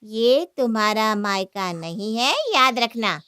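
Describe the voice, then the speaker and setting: A woman's voice: a little high, takes it slowly, bright, forceful tone, a little hiss or hum. A woman playing a scolding, exasperated mother-in-law in a cartoon family story, nagging and sarcastic. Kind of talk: Hindi cartoon story acting.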